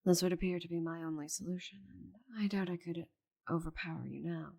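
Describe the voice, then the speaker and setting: Woman voicing a low, husky, stubborn dragoness. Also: steady tone, dark tone. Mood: grudging, fond. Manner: proud, dry, halting when flustered. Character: giant stubborn female dragon, cursed to shrink, grudgingly affectionate. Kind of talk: intimate ASMR roleplay monologue.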